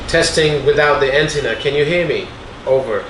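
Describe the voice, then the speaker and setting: A man's voice: fairly high pitch, moderate pace. Man in an echoey, noisy space. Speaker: test partner in a gadget review. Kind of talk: casual radio-check talk. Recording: echoey, clear background noise.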